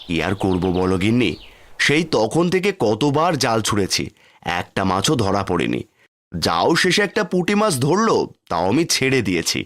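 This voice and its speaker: A man's voice: fairly low pitch, sing-song, normal speed, fairly bright tone. A man voicing a poor, mild village husband and father in a cartoon fairy tale, placating and self-pitying. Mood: resigned, soothing.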